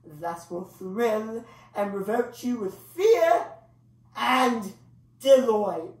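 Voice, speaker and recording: Woman playing a slow, theatrical clown, echoey. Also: on the high side, animated, a little mellow. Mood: grand, showy. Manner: grandiloquent, dramatic, pausing for effect. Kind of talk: solo dramatic monologue performance. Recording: echoey room, distant microphone.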